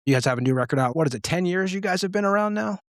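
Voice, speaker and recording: A man's voice: high voice, animated, racing, no echo, clean studio sound. A man hosting an interview in an informal, conversational way, chatting casually with his guest. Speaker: podcast host, interviewer.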